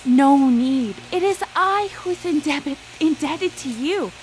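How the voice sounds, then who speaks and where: An obsessive scientist in a radio drama. Her voice sits high and swings widely as she pleads theatrically with the thing she made. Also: animated, slow pace, soft background music, poor audio. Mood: soothing, scheming.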